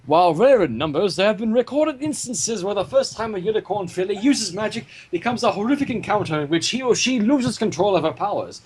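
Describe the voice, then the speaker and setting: A man narrating a fan-fiction story aloud in an animated storytelling style. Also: high-pitched, a little fast, few pauses, a little hiss or hum, low-quality recording.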